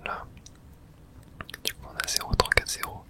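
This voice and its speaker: Whispering ASMR gamer. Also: moderate pace. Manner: whispering throughout; casual swearing, muttering to self. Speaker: video game streamer. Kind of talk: whispered ASMR game playthrough.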